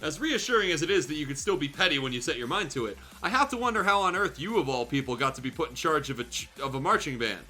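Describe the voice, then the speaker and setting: A man's voice: on the high side, big pitch swings, fast talker, few pauses, sharp tone, heavily acted. A man reading aloud as a frank, skeptical storybook man with a dry, needling manner. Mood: dryly teasing. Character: frank, skeptical man teasing his smug host.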